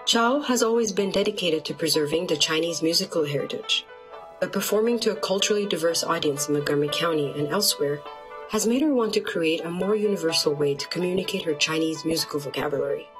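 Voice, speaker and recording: A woman narrates in a polished documentary style, her voice sitting a little low. Soft music plays faintly beneath her. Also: fast. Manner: even, polished voice-over delivery.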